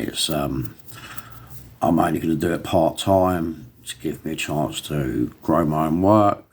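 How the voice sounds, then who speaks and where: A middle-aged man vlogging to his phone, hoarse from a lost voice, rambling and defiant. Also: rumbling low. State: hoarse, recovering voice. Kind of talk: casual talk to camera.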